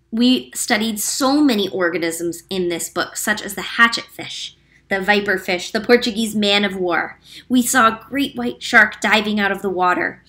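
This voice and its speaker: A woman reading a picture book aloud to children as a teacher, clear and explanatory, slowing down on key facts. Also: medium pitch, bright, forceful tone. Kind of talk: read-aloud storytime narration.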